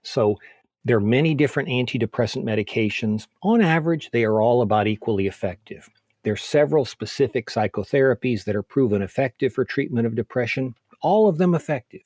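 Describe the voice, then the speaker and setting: A man speaking as a physician in an interview, explaining things methodically in measured, orderly points. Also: mid-range voice, animated, darkish tone, no echo, studio quality. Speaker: physician, expert interview guest.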